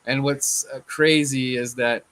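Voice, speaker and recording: A man hosting a casual sports fan chat, his voice a little hoarse from chanting, laid-back and often saying "man". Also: medium pitch, steady tone, a little slow, fairly bright tone. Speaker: fan channel host.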